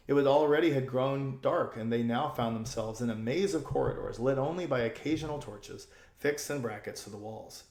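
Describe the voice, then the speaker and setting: A man reading a children's story aloud as an expressive audiobook narrator. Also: medium pitch, vocal fry, roomy sound.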